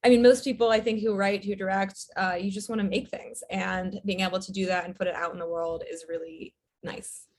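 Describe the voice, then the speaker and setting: A woman, a writer and director, talking as an interview guest in a relaxed, thoughtful way with many fillers. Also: medium pitch, fairly quick. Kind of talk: casual interview chat.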